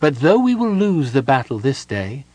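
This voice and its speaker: A man voicing a self-assured, fanatical female war leader in an audiobook, cool, deliberate and solemn. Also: mid-range voice, big pitch swings, warm tone, breathy edge, dry recording, voice pushed up.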